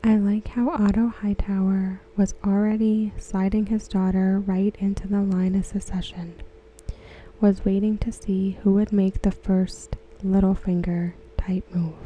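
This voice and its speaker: Hushed, very mellow woman reading ASMR. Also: medium pitch, level tone, a little hiss or hum, low-quality recording. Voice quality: soft, breathy, near-whispered. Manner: hushed, gentle, unhurried delivery. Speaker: ASMR creator. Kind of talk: soft-spoken ASMR read-aloud of written comments.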